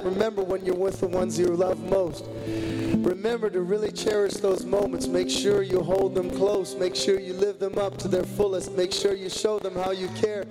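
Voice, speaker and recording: Guy musician talking on stage between songs, high slightly rough voice, really heartfelt, loud guitar playing behind him. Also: poor audio. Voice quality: a little rough. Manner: earnest, tender, repetitive phrasing. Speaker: singer-musician addressing audience between songs. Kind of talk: heartfelt stage talk before a song. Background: plucked guitar playing.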